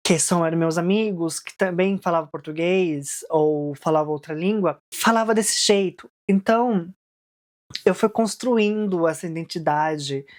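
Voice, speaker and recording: A young woman from Japan speaks in a fairly low voice as she recounts her own story in a vlog. Her tone is casual and reflective, and she peppers her sentences with fillers as she thinks aloud. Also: darkish tone. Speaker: vlogger.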